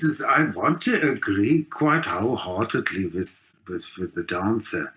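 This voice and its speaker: A man's voice: on the low side, a bit bright, rough recording. A middle-aged South African man talking conversationally as an interview guest, emphatic and full of anecdote. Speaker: guest, theatre performer.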